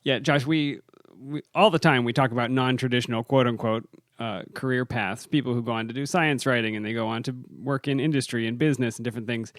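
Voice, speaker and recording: A man co-hosts a podcast, speaking in a measured, earnest way with a few "uh"s as he sets up the guest. His voice is mid-pitched. The sound is close and dry. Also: fairly even intonation, no room sound. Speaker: podcast co-host. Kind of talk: casual podcast chat.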